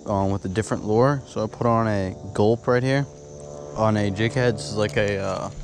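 A man's voice: fairly low pitch, fairly even intonation, moderate pace. A young man vlogging while he fishes from a dock, explaining in a loose, easygoing way with lots of "like" and "sorta". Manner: laid-back, loose with fillers like "sorta" and "like". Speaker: fishing vlogger. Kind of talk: casual narration while fishing. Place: outdoors on a dock by the water, evening into night. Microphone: head-mounted action camera. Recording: outdoor noise, insects and crickets.

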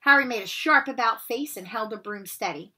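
A woman in her forties reading a children's novel aloud in an expressive storytelling style. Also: on the high side, fairly quick, slightly rough. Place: bedroom at home, indoors.

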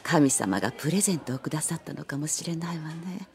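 An actress playing a gentle, refined older lady in an anime audio drama, speaking in a measured, genteel, reflective way. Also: deep voice, lively intonation.

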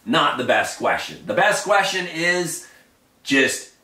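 A man in his forties, a paragliding instructor, explaining to camera in an emphatic, animated way, gesturing as he talks from a home office. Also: fairly high pitch, slow pace, far from the mic. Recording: echoey room, a bit off-mic.

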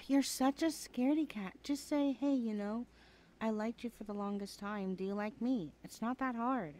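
A woman's voice: on the high side, normal speed, dark tone, natural voice, poor audio. A woman playing a chatty, teasing young adult best friend in a casual, hesitant ASMR roleplay. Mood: coaxing, teasing. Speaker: voice actor in audio roleplay. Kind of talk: ASMR roleplay, chatty one-sided conversation.

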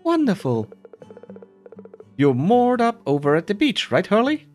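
A performer voicing a cheerful, excitable animal villager in a cosy life game, over music. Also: medium pitch, unhurried, pitched above the performer's own voice. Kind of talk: voiced game dialogue.

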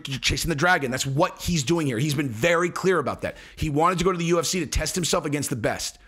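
A man's voice: a little high, a bit bright, slightly rough. A man in his forties hosting a solo fight commentary show, talking intensely and emphatically at a desk microphone, full of profanity, fillers and restarts. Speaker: MMA commentary show host. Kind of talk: heated solo commentary to camera. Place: home studio with screens of fight photos behind. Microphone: desk podcast mic in front.

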